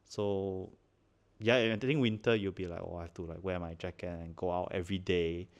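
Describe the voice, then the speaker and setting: A man in his thirties with a Malaysian accent, co-hosting a casual chat podcast from a living-room sofa on a handheld mic, relaxed and quick to laugh. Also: low-pitched. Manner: relaxed, chatty, laughs often, many fillers. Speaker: podcast co-host.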